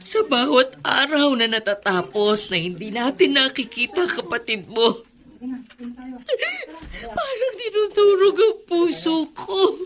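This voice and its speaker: A woman's voice: high voice, very animated, unhurried, fairly bright tone, full character voice. An actress playing a distraught, protective mother in a Filipino radio drama, tearful and emphatic. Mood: anguished, tearful. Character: anguished, fiercely protective mother searching for her daughter.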